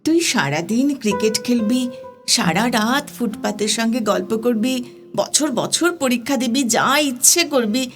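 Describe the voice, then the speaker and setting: Actress as scolding, fond college girl, high lilting voice, Kolkata Bengali, poetic audio drama over guitar. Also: animated, a little slow. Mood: firm, playful. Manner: teasing, questioning, chiding. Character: studious, scolding, affectionate young female university student. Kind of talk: recited dramatic Bengali poem dialogue. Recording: studio voice over guitar music. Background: plucked guitar music.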